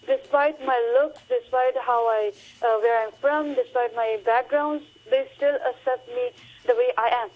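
A woman's voice: high voice, fairly even intonation, moderate pace. A young woman, a recent graduate chatting earnestly as a radio guest, with lots of fillers and restarts. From Hong Kong. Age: young adult. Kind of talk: radio interview. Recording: muffled phone line.

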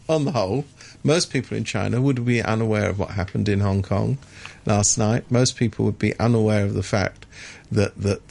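A man with a gravelly, creaky voice talks in a radio studio as a regular commentator. He sounds indignant and sardonic, and he often trips over the first words of a sentence before pushing on. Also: lowish voice, a little mellow. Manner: indignant, sardonic, many restarts.

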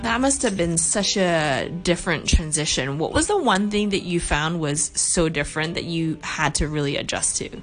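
A woman hosts a podcast in a slightly low voice, moving from a scripted opening to friendly, upbeat questions. Music plays clearly behind her words. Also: lively intonation, normal speed, few pauses. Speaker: podcast host. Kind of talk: scripted intro and interview questions.

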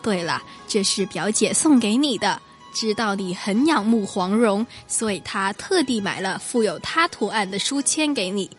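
A woman acting a caring, gently nagging mother in a radio drama. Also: mid-range voice, lively intonation, moderate pace, soft background music, natural voice.

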